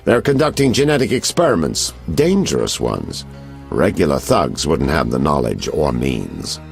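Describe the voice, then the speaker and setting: An actor playing a sly, knowing private detective in a video game, theatrical and insinuating in manner. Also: male voice, a bass voice, huge pitch swings, normal speed, vocal fry, faint music behind, exaggerated voice. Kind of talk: video game character acting.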